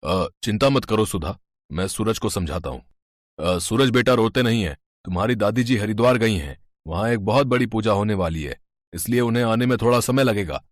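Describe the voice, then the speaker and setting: A man's voice: lowish voice, expressive, a little fast, dry recording, studio quality, close to an everyday voice. A man voicing a middle-aged father in a storybook tale, placating, apologetic and hesitant. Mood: soothing.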